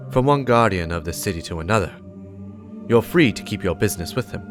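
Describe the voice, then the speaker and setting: A man voicing a stern, righteous warlock, speaking gravely and deliberately in a dramatic lore reading, with emphatic warnings against corruption. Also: lowish voice, expressive, faint music behind, lightly acted. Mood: stern, urgent.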